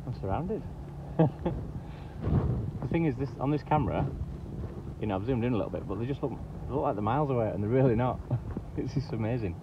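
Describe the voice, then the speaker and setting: A man's voice: mid-range voice, lively intonation, fairly quick. A man chatting casually to camera outdoors, rambling with lots of "you know". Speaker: vlogger. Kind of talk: casual outdoor vlog chat. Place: outdoors, kayak at sea then beach. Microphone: action camera. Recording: dull lo-fi camera audio with clear wind and water noise.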